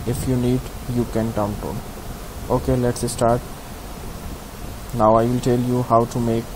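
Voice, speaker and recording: A man giving a step-by-step software tutorial in simple, halting instructions. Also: medium pitch. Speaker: tutorial host. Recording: clear background hiss.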